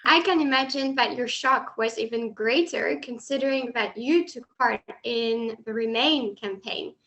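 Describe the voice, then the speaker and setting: A woman's voice: fairly high pitch, unhurried, bright, forceful tone, rough recording. A woman hosting an interview, putting prepared questions to her guest. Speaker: interviewer, host.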